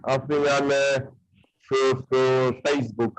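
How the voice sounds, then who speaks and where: A man's voice: mid-range voice, little pitch movement, takes it slowly, dry recording, poor audio. A man in his forties from Poland with a Polish accent, speaking hesitantly and searching for words on a video call. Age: 40s. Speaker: guest participant. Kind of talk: casual video-call conversation.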